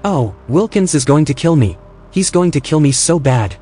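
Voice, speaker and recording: An actor playing a wry, reluctant, anxious tech colleague in a naturalistic audio drama, with a dry manner. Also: male voice, on the high side, lively intonation, moderate pace, dark tone, breathy edge, soft background music. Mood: uneasy.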